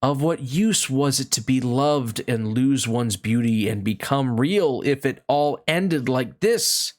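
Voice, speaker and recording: A woman narrates a children's story, reading from a book. Her voice is extremely low for a woman. She takes the text slowly, as a read-aloud storyteller. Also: animated.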